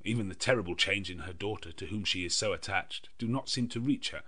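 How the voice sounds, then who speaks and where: A man reading a gothic novel as an audiobook narrator, in a measured, literary storytelling manner. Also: deep voice, pushed and bright.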